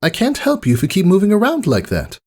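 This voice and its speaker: Storybook role: ladylike, theatrical unicorn mare male performer, fussy and genteel, high swooping pitch. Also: wildly swooping pitch, quick pace, darkish tone, heavily acted. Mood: fussing, polite. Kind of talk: character voice in fan fiction reading.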